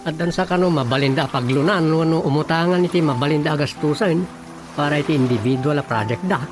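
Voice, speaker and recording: An actor playing a talkative village official who explains things earnestly in an Ilocano radio drama. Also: male voice, a little high, quick pace, lightly acted. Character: talkative male village official reporting to his chief. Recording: music under the dialogue.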